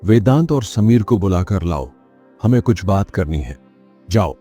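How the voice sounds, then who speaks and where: A man's voice: low voice, animated, unhurried, warm tone, husky, faint music behind, exaggerated voice. A man voicing a kindly, dignified guru in a children's moral story, formal and authoritative. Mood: commanding.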